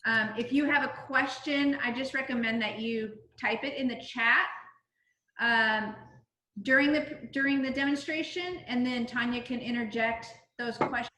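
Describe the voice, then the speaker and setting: A woman's voice: fairly high pitch, sharp tone. A middle-aged woman from Southern California gives a casual cooking-product demonstration over a video call from home. She talks in a chatty, informal way, with many ums and restarts. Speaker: direct-sales cooking consultant. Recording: roomy video-call audio.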